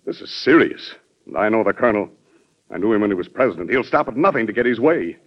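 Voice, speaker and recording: An actor playing a grave, anxious Secretary of War, respectful but insistent, in an old-time radio drama. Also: male voice, lowish voice, lively intonation, fast. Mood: worried, insistent. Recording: muffled old radio broadcast recording.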